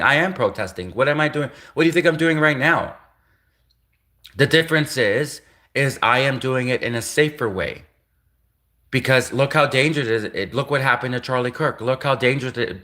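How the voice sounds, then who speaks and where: Nasal, bright-toned man from Canada, casual livestreamer answering chat, repeats himself. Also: medium pitch. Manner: halting, repeats himself, restarts phrases.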